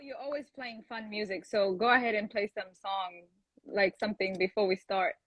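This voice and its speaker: A woman's voice: medium pitch, fairly even intonation, moderate pace, low-quality recording. A woman hosting a casual online live chat. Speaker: host of a live online talk.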